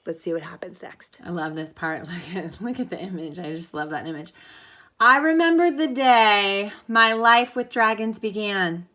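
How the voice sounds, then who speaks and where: A woman schoolteacher reading a picture book aloud with animated, dramatic emphasis and encouraging asides to her pupils. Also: medium pitch, moderate pace. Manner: encouraging, checks understanding with questions. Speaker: elementary school teacher.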